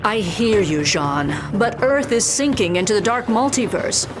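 An actress playing a tough, pragmatic winged warrior heroine, blunt and serious. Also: female voice, medium pitch, big pitch swings, unhurried, over music. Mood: grim. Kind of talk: video game hero acting.

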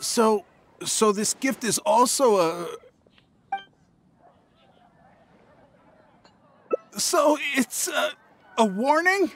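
A nervous young merchant from a fantasy game. He talks slowly and evasively, with stammers and a widely swinging pitch. Faint ambience sits behind the voice. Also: sing-song, gravelly, a bit bright, a little hiss or hum, heavily acted. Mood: alarmed. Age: young adult. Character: shifty, nervous young male traveling merchant. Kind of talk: scripted fantasy game voice acting.

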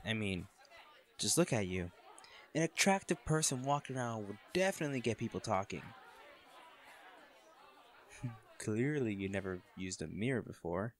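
A man's voice: fairly low pitch, animated, normal speed, long pauses, a faint crowd, close to an everyday voice. A man playing a cocky college volleyball captain. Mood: teasing. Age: young adult. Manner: laid-back, laughs often, swears casually. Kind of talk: audio roleplay to a listener.

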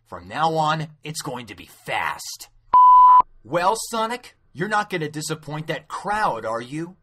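Man playing a cocky, high-pitched highway speed cop. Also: sing-song, a little slow, heavy background noise. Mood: smug. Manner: smug, showy, theatrical. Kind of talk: amateur anime dub reading.

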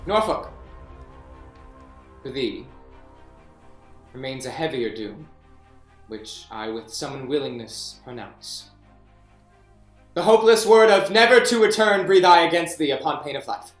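A man's voice: on the high side, a little slow, long pauses, faint music behind, natural voice. A man playing a proud young king passing judgment, speaking Shakespeare's verse in a regal, stately way over a webcam. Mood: stern. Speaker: actor. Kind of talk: staged Shakespeare reading. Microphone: webcam on a video call. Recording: echoey room.

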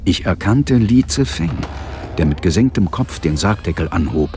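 Deep-voiced tense inspector narrator. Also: male voice, very animated, moderate pace, mellow, slight background noise. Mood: tense, hushed. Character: male police inspector narrating his demon fight, cool and tense. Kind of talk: dramatic first-person radio play narration. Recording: music bed under the voice. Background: dramatic music.

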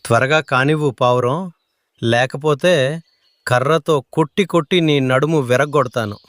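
A man's voice: medium pitch, moderate pace, a bit bright, close to an everyday voice. A man voicing a threatening, thuggish crow thief who poses as an officer in a children's cartoon. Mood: menacing.

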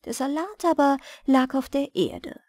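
Expressive woman reading fairy tales, highish voice. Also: measured pace, dry recording, studio quality. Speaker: storyteller, audiobook reader. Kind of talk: scripted fairy-tale narration for bedtime.

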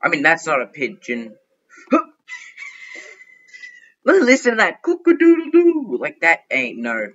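Young guy chatting thru his game, bright voice, kinda dull lo-fi mic. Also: a little high, slow pace, long pauses. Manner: excitable, chatty, thinks aloud. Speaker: gaming youtuber. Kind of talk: casual gameplay commentary.

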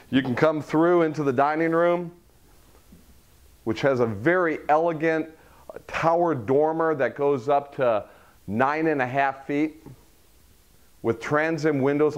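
A middle-aged man leading a walkthrough tour of a model home, listing its features in a salesy way. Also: mid-range voice. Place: furnished model home, indoors.

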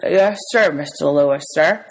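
A woman voicing a quick-witted young boy in a storybook, polite, guarded and deferential. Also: lowish voice, expressive, voice pushed up, poor audio. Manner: deferential, short careful answers.

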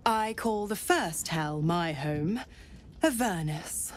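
A woman's voice: fairly low pitch, expressive, slow pace, slight background noise. A woman voicing a sly, mocking female devil in a video game, with a silky, theatrical, teasing drawl. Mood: savoring, seductive. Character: sly, mocking, seductive female devil, half-fiend servant. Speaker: game character. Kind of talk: video game cutscene acting.

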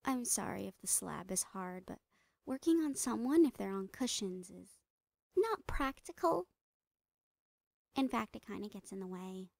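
A woman playing a smiling psychotic doctor in a horror ASMR roleplay, breathy and often whispering, soothing and falsely reassuring with drawn-out words. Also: mid-range voice, very animated, a little mellow, dry recording, heavily acted. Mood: soothing, sinister. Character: smiling psychotic woman doctor, sweetly menacing mad scientist. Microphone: close microphone, dry.

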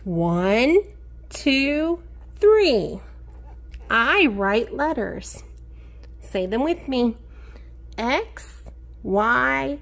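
A woman teacher reading a picture book aloud to young children in an expressive sing-song way, encouraging them and asking them questions. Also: on the high side, gravelly. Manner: expressive, sing-song, drawn-out key words; encouraging, addresses children directly.

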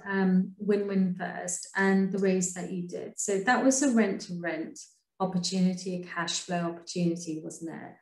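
A woman in her thirties hosts an interview over a video call, her voice mid-pitched. She talks in a friendly, chatty way, with frequent ums. The sound carries the echo of an indoor room. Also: a little mellow. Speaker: interviewer host.

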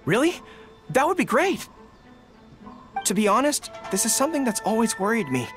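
With music behind, a woman plays a boyish young dog-eared general from a video game. He is earnest and easily flustered, and his pitch jumps around in a very lively way. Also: normal speed. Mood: earnest, determined. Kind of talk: video game character dialogue. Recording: music under the voice. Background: game background music.

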